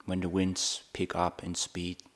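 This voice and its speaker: A man with a low-pitched voice leads a guided meditation. He speaks very slowly, setting out short phrases one at a time. Long, measured pauses separate his thoughts, as a monk teaching would leave them. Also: monotone.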